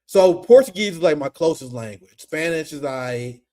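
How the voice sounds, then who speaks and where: A young man hosting a casual political commentary stream, animated and profane, laughing often. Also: on the high side, normal speed.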